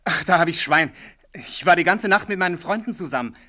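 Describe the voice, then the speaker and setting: Bright-voiced, edgy young student. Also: male voice, high voice, expressive. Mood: relieved, uneasy. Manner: touchy, hesitant, quick to bristle. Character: edgy, defensive young male medical student under suspicion. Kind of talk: German radio play acting.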